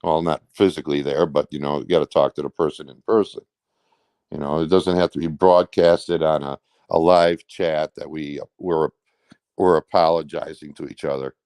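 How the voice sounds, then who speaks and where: Low, smooth-voiced man telling his story. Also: steady tone, normal speed, a little mellow, no room sound. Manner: rambling, blunt, colloquial with swearing. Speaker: interview guest; truck driver. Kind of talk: casual recounting of personal events.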